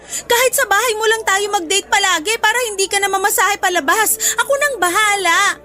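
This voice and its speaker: A woman's voice: very high voice, brisk, sharp tone. An actress playing a hurt, suspicious young woman in an emotional radio drama, pressing her suitor insistently. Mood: pleading.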